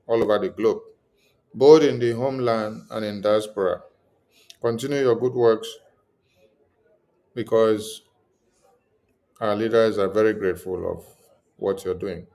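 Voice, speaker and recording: A man's voice: lowish voice, darkish tone. A man hosting a live-streamed political address, repeatedly asking his viewers for help. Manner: repetitive, pleading requests to viewers. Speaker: livestream host.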